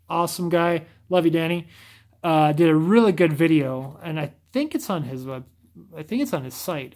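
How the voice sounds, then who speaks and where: A man's voice: a little high, vocal fry, darkish tone. A man with a scratchy voice talking casually to camera, often stumbling and restarting his sentences. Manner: stumbles over words, rambling restarts.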